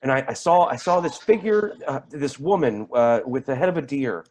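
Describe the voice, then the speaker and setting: An actor playing a rattled, defensive man giving halting, emphatic testimony in a dramatic staged reading. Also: mid-range voice, expressive, darkish tone, lightly acted. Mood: tense recounting. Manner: halting, emphatic, repeats himself. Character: rattled, defensive man testifying about an eerie deer encounter.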